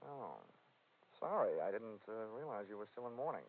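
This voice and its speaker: An actor playing a wry, flirtatious, hard-boiled insurance investigator in 1940s radio drama style. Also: male voice, on the low side, sing-song, normal speed. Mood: wry, probing. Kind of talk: 1940s radio detective acting, first-person narration. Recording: scratchy, tinny 1940s radio recording.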